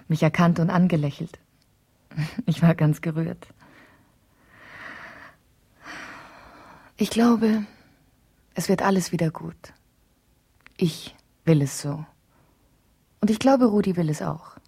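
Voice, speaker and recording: A woman's voice: on the low side, creaky, darkish tone, husky, full character voice. An actress playing a wife in a radio play, confiding and hesitant as she thinks aloud over an emotional letter. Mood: tender, content. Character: wife writing an emotional letter to a trusted friend.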